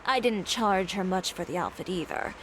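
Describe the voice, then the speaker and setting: A woman voicing a calm, businesslike designer. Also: mid-range voice, moderate pace, a little hiss or hum, natural voice, rough recording. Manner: calm, matter-of-fact. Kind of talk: game voice acting.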